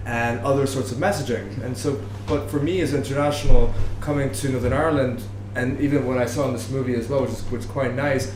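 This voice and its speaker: A man from Lebanon with a mid-pitched voice answers at a live panel discussion. He rephrases as he goes, sounding thoughtful. The room is echoey, with faint background noise. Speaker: panelist, researcher of street art. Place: bar venue, live audience discussion.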